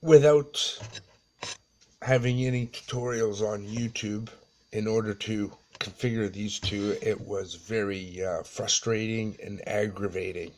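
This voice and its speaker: A man in his thirties with a fairly low voice talks through a how-to at a very slow pace. His delivery is casual and plain, broken by frequent pauses, as in a homemade tutorial. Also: steady tone, slight background noise. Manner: halting, plain-spoken, pauses often. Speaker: amateur tutorial presenter. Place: small dim room indoors.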